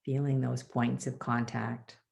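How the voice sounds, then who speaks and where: Female meditation instructor, very deep register with gravelly fry, soothing and measured delivery for a guided body scan. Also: flat delivery, a little mellow. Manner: soothing, measured, unhurried pauses between phrases. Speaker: meditation teacher leading a class.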